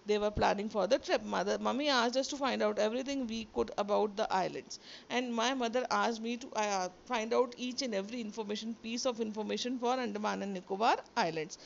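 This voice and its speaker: A woman's voice: fairly high pitch, a little fast, vocal fry, highs cut off, a little hiss or hum. A woman teacher with an Indian accent, a native Sindhi speaker, reading a textbook story aloud and then explaining it line by line. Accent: Indian English. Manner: reads aloud then re-explains each line, switching into Hindi. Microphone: phone or screen recorder mic.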